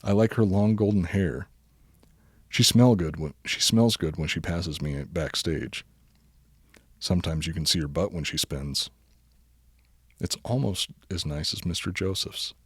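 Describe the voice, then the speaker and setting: A man with a deep voice reads a silly bedtime story for a podcast. His delivery is deadpan, though he is prone to breaking into giggles. The sound is very dry, with hardly any room to it. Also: a little slow, long pauses, husky, dry recording. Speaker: podcast host reading a story. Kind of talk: comic bedtime story reading.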